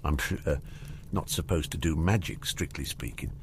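Guy doing a big gruff giant, booming n blunt, kindly but short fuse, storybook style, pitch all over. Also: animated, normal speed, slight background noise. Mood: sheepish. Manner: rustic, blunt, informal. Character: huge gruff hot-tempered but kindly giant man.

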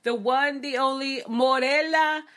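Bright-voiced, unhurried female host. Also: sits high, steady tone. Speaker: host of a video podcast. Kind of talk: enthusiastic interview.

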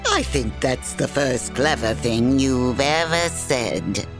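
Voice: mid-range voice, slow, over music, lightly acted. A woman playing a grumpy, haughty storyteller with a withering, theatrical delivery in a fantasy audio drama. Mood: irritated. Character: grumpy, haughty, sharp-tongued storyteller narrating a fantasy tale.

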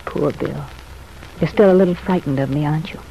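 An actress playing a contrite, earnest young ex-wife in an old-time radio soap opera, speaking in a confiding, measured way. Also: female voice, low-pitched, gravelly, warm tone, highs cut off. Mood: gently confiding. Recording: noisy, band-limited vintage radio recording.

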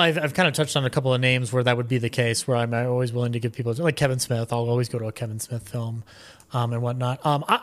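A man talking casually as a podcast guest, rambling along with a fan's enthusiasm. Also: mid-range voice. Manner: rambling, enthusiastic fan talk. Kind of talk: casual interview chat.

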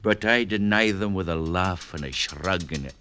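An actor playing a devoted, plodding gentleman suitor, halting and gently insistent, in an old-time radio drama. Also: deep voice, a little hiss or hum. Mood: earnest, firm.

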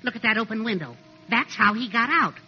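A fussy, meek little fellow in a 1940s radio comedy. His voice is high and swoops expressively, and he is prim and polite. It comes through a dull, slightly noisy old recording. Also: animated, fairly quick, sharp tone, breathy edge, exaggerated voice. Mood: alarmed, soothing. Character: meek, fussy, literal-minded little henpecked neighbour man.

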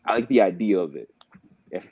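A man's voice: low voice, animated, a little fast, husky. A young man telling his story in a laid-back, slangy way as a podcast guest. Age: young adult. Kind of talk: casual conversation. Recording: dull, dry lo-fi sound.

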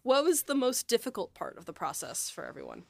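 A woman's voice: a little high, very animated, brisk, gravelly, darkish tone, a little hiss or hum. A woman hosting a casual podcast chat in a playful, giggly manner. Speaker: podcast host.

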